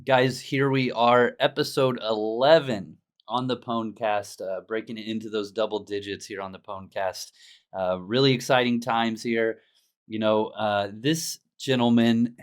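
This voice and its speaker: A man hosting a casual gaming podcast, easygoing and laughing often, with plenty of fillers as he asks questions. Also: on the low side. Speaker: podcast host.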